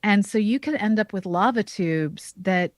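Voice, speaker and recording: A woman co-hosting a science podcast, explaining in a measured, careful way. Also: mid-range voice, no echo, clean studio sound. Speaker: podcast co-host, astronomer.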